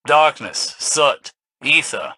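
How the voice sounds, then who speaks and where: A man playing a grave, scholarly veteran commander in a video game, with a measured, formal, solemn delivery. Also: on the high side, expressive, bright, forceful tone, dry recording, heavily acted.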